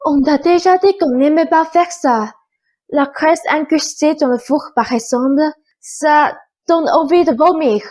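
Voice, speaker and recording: A woman's voice: high-pitched, measured pace, a little mellow. A woman playing a spoiled, squeamish young stepsister in a radio drama, whining in protest. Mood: disgusted, whining.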